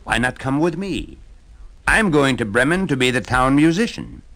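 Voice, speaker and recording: A man's voice: mid-range voice, big pitch swings, moderate pace, fairly bright tone, voice pushed up. A man doing a hearty kindly donkey. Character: cheerful wandering male donkey, kindly leader.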